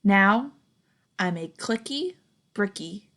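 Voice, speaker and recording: A young adult woman reading a children's picture book aloud expressively, pausing to put questions and comments to young listeners. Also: mid-range voice, lively intonation, a bit bright. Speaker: storybook reader, teacher.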